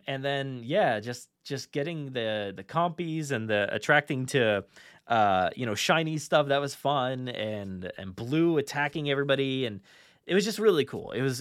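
A man's voice: mid-range voice, a bit bright, dry recording, clean studio sound. A man hosting a podcast, chatting casually and enthusiastically, animatedly acting out his own reactions. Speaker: podcast host.

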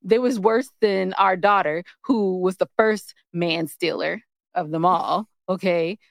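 An American woman co-hosting a relationship podcast, bantering and telling stories in a candid, playful, animated way. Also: lowish voice, vocal fry, no room sound, clean studio sound. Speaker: podcast co-host. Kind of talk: casual relationship banter and storytelling.

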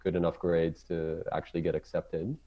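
A man from Israel with an Israeli accent, English not his native tongue, talking casually as a guest and often pausing to search for words. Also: low voice, level tone, dull audio. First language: Hebrew. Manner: halting, searching for words, many fillers and restarts. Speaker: ecologist guest. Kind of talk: casual interview talk.

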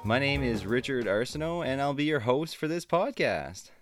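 A man's voice: medium pitch, animated, moderate pace, soft background music. A man hosting a podcast, asking questions with brief friendly prompts. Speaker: podcast host. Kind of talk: casual interview hosting.

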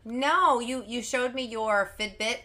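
A woman co-hosting a true crime podcast, chiming in with quick, encouraging reactions during casual chat. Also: fairly high pitch, pushed and bright, slight background noise. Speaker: podcast co-host.

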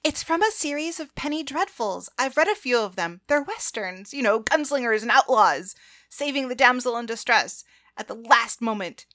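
A woman voicing the polite, eager heroine of an audiobook story in animated dialogue. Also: a little high, normal speed. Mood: explaining. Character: story's polite young heroine, keen and explaining.

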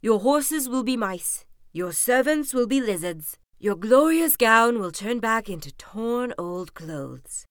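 A woman reading aloud, voicing a kindly fairy godmother who speaks in a calm, measured, reassuring way. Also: fairly high pitch, dry recording, studio quality.

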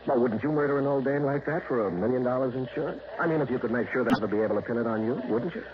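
A man's voice: medium pitch, racing, natural voice. An actor playing an eager, talkative small-town radio newsman in an old radio drama. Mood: insinuating. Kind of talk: 1960s radio drama acting. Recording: dull old radio recording with hiss.

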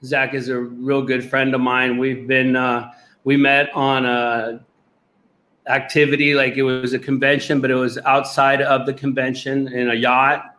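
A man's voice: mid-range voice, flat delivery, unhurried, bright, forceful tone. A man from Puerto Rico, a podcast guest telling his life story in an earnest, rambling way. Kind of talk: casual interview.

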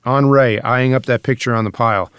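A man reads screenplay narration as a dramatic storyteller. His pitch is medium, and the recording is very dry, with little sense of a room. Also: fairly even intonation, a little fast, a bit bright, clear, resonant tone, no room sound. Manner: dramatic present-tense storytelling. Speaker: narrator reading stage directions.

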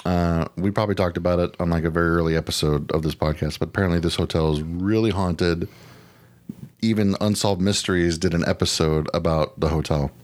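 Low-pitched man, podcast co-host, casual chat, rambling, slangy, swears casually. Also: fairly even intonation, fairly quick.

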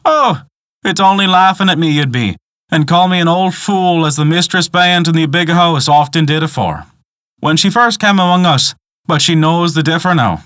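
A man's voice: a little high, expressive, normal speed, a bit bright, dry recording, clean studio sound, pitched above the performer's own voice. A man voicing an old peasant woman, a superstitious, folksy guide telling tales in dialect for a storybook. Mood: coy, folksy.

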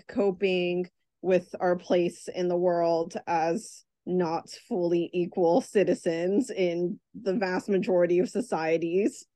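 A woman's voice: lowish voice, a little mellow, no room sound. A woman playwright answering interview questions in a thoughtful, reflective way. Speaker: playwright, guest. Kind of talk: casual interview chat.

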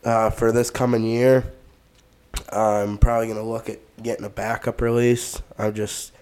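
A lower-pitched man with a smooth, clear voice, a little stuffed up and sniffly. He co-hosts a podcast and rambles through his gear casually, with plenty of fillers. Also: moderate pace. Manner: relaxed, rambling, many fillers. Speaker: podcast co-host.

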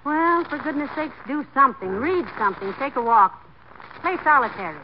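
An actress playing a sensible, wry middle-aged wife, brisk and motherly, in a 1940s radio comedy. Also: high-pitched, animated. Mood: wry, chiding. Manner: brisk, gently chiding, motherly. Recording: dull, noisy 1940s radio recording.

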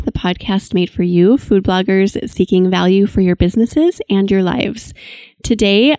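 A woman's voice: medium pitch, darkish tone, dry recording. Bubbly woman podcast host, dry sound. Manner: enthusiastic, bubbly promo voice. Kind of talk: podcast ads and interview.

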